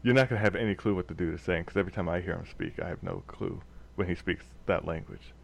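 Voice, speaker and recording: A man's voice: deep voice, creaky. A man hosting a casual comedy podcast, rambling and laughing as he talks. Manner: rambling, laughing, slow then quick. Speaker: podcast host.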